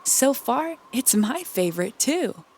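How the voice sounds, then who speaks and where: An eager, polite little boy voiced by a woman reader from a storybook. The voice is fairly high and earnest, over a noisy recording. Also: sing-song, slow pace, darkish tone, heavily acted. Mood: amazed. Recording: clearly noisy background.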